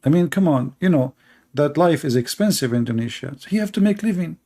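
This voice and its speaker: A man's voice: fairly high pitch, animated, quick pace, warm tone. A man, hosting a commentary show and mocking video clips in a sarcastic, rambling way with many fillers.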